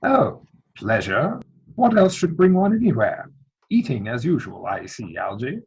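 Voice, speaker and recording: Man playing flustered gentleman suitor, dull lo-fi audio. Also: mid-range voice, sing-song, unhurried, breathy edge, exaggerated voice. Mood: genteel, breezy. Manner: formal, mannered, indignant delivery. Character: earnest, easily flustered upper-class young gentleman suitor. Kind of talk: dramatic reading of a period stage comedy. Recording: dull lo-fi video-call audio.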